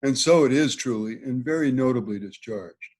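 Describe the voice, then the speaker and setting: A man's voice: medium pitch, normal speed, darkish tone, lightly acted. A man playing a witty, mocking nobleman in an amateur Shakespeare table read, with dry teasing delivery over a video call. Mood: teasing, lordly. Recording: home video call recording.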